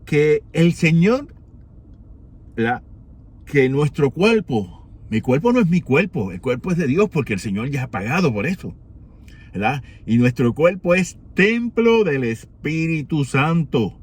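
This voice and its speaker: A man from Rico, giving an unhurried reflection for morning prayer, with many pauses and rhetorical questions. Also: on the high side, very animated, husky. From Puerto Rico.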